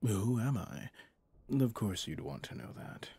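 Male actor as possessive mafia boss, low breathy voice, slow teasing drawl, flirtatious menace, ASMR roleplay, close to the mic. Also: expressive, warm tone, heavily acted. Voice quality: low, husky, often dropping to a whisper. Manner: slow, teasing, intimate, with pet names. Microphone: close studio microphone, dry.

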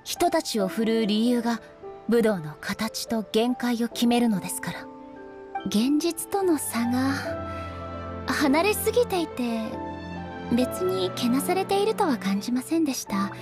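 A serene, noble female ruler from an anime game. The voice is fairly high, with formal, measured and polite delivery. Music runs behind the words. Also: animated. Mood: composed, thoughtful. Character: composed, dignified female ruler of an island nation. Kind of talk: anime video game voice acting.

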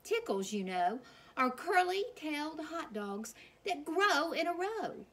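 A woman voicing a pompous storybook wise man who explains nonsense in a drawn-out, knowing way. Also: sits high, very animated, fairly bright tone, voice pushed up, full character voice.

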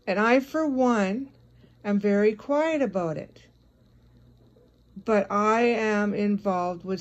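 A woman's voice: mid-range voice, darkish tone. A woman giving a confrontational, scolding monologue addressed directly at someone, as an online video creator.

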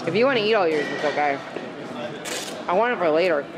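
A chatty, excitable man vlogging casually inside a large sports hall at a wrestling show, filmed on a handheld camera. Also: on the high side, fast, background noise. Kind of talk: casual chat. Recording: loud crowd behind, camera mic. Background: loud crowd chatter.

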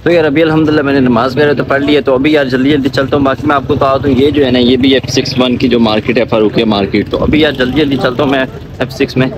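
Fast-talking young Pakistani man vlogging outdoors. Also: medium pitch, steady tone. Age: 20s. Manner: chatty, addresses viewers informally as buddies. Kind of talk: casual walking-tour vlog. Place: outdoors on busy city streets. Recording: clear outdoor street and traffic noise.

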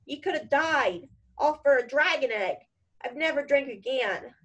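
A woman's voice: fairly high pitch, normal speed, natural voice. Woman acting out a huge remorseful bearded man. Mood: sobbing remorse. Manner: emotional, blunt, colloquial.

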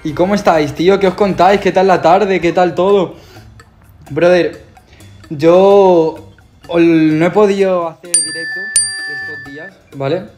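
A man's voice: high-pitched, unhurried, darkish tone. A young man with a Spanish accent from Spain, talking to his phone camera in a laid-back, slangy way. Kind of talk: casual social media video. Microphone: phone camera close up. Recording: phone clip with background music.

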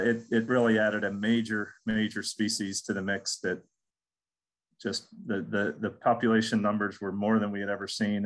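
Middle-aged guy giving a slide lecture, kinda low voice, lots of um and restarts. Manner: casual, repeats words and restarts with many ums. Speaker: extension entomologist. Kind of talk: recorded webinar lecture with slides.

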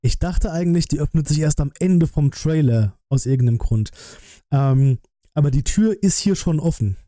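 A man's voice: fairly high pitch, lively intonation, moderate pace, dark tone, no echo. A man, giving casual live-stream commentary in a colloquial, musing way, close on the mic. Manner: musing, colloquial, thinking aloud. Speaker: streamer reacting to a game trailer.

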